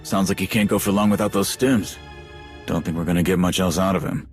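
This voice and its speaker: A man's voice: sits low, steady tone, a little fast, soft background music, natural voice. A man playing a hot-tempered, threatening hunter in a video game, blunt and impatient. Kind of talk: video game cutscene acting.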